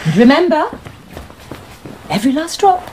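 A woman's voice: sits high, big pitch swings, normal speed, heavy background noise. A woman playing a genteel, scheming stepmother in a radio drama, coaxing with false tenderness. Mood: coaxing, false sweetness.

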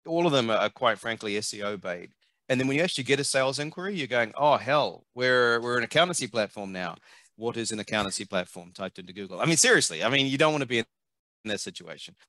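A middle-aged man with a New Zealand accent, a marketing consultant speaking as an interview guest over a headset on a video call, animated and emphatic, often repeating words as he thinks. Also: medium pitch, quick pace, dry recording. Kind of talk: conversational interview. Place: home office, video call. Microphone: headset mic.

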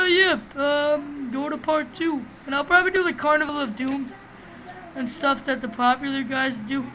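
A man with a small, high voice giving rambling, profane, excitable commentary while playing a game, recorded on a webcam microphone. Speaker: gamer doing a let's play. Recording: noisy home recording.

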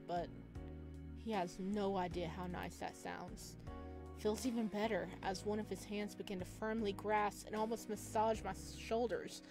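Female game streamer, middle pitch, playful and expressive read-aloud with casual reactions, music underneath. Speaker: streamer playing a visual novel. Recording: music playing behind the voice.

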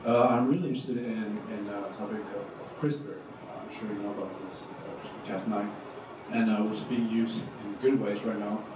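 A young man stands in the audience and puts a question to the panel, hesitant and halting, with many fillers. His voice sits on the low side and sounds far from the mic. The hall around him is roomy and noisy. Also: fairly even intonation. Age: young adult. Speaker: student audience member asking a question. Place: large lecture hall, audience. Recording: roomy hall, distant mic, room noise.

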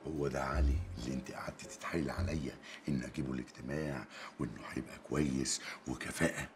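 A man's voice: very deep voice, fairly even intonation, fast, music in the background. A man playing a fussy, flustered Egyptian boss. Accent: Egyptian Arabic. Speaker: Mr. Gamil, the newspaper boss. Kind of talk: comedy film acting.

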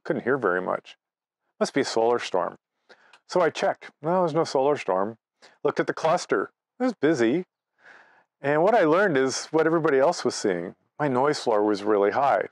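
A man's voice: mid-range voice, sing-song, moderate pace, dry recording, clean studio sound. A man, giving an informal presentation as a conversational, anecdotal storyteller. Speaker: amateur radio presenter. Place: outdoors by trees at a cottage.

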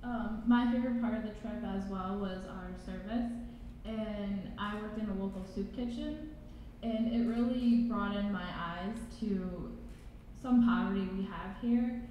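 A woman's voice: mid-range voice, measured pace. Young woman presenting in an echoey hall. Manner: casual, uses "like". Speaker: student panelist. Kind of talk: panel presentation. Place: large reverberant college hall. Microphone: handheld mic. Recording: echoey hall, dull sound, faint noise.

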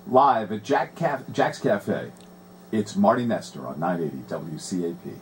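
A middle-aged man presents a radio show. His pitch moves around in an animated way from a medium base. There is clear noise behind his voice. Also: a little slow. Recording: clearly noisy background.

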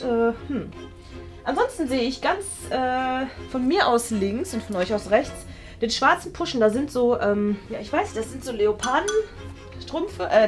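A young woman casually thinking aloud as she hosts and plays a guessing game, playful, hesitant and rambling. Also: on the high side. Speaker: host and contestant guessing in a game. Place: small indoor studio room. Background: background music.

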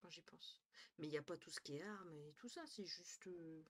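A woman's voice: low-pitched, normal speed. Tired young woman on her stream, headset on, rambling to chat, swearing a bit, mumbling under her breath sometimes. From northern France. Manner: chatty, swears casually, thinks aloud, drops to murmurs. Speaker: Twitch streamer. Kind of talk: casual live-stream chat with viewers. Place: small room at home, indoors.

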